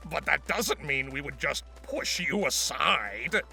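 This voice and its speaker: A man voicing a gravelly centaur villain who talks in a courtly, affectionate way, like a doting father, in a cartoon fan drama. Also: a little high, animated, slow pace, sharp tone, faint music behind, heavily acted. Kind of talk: fan fiction audio drama acting.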